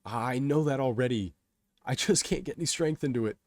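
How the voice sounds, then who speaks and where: A man's voice: mid-range voice, normal speed, no room sound, voice pushed up, natural voice. Man voicing a selfish, panicky young cynic, dry recording. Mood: desperate panic.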